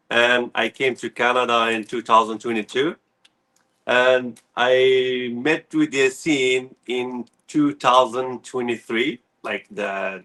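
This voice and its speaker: A man's voice: mid-range voice, pushed and bright. A chocolatier from Morocco, a man, rambling with many "like" fillers. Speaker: chocolatier, guest. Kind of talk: casual live-stream introduction.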